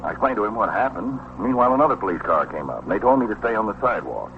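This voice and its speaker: An actor playing a fussy, anxious, easily aggrieved gallery owner in an old police radio drama. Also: male voice, fairly low pitch, very animated, rapid-fire. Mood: earnest. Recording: muffled, noisy old radio recording.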